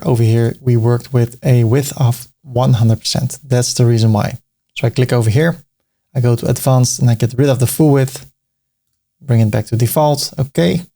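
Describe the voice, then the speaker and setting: A man's voice: mid-range voice, creaky, a little mellow. A middle-aged man with a Dutch accent walking through a web design tutorial step by step, casual and now and then joking. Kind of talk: screen-recorded tutorial narration.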